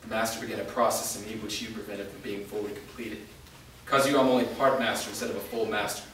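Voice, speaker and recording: A man playing a wounded, resolute part-vampire fighter in a staged reading, speaking in a serious, measured, explanatory way. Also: on the low side, little pitch movement, a bit bright, close to an everyday voice. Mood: grave, resolute. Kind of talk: staged reading of a fantasy drama. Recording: echoey room, distant mic, faint noise.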